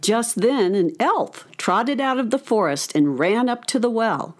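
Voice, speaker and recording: A woman's voice: on the high side, expressive, unhurried. A woman narrating a scripted story for children.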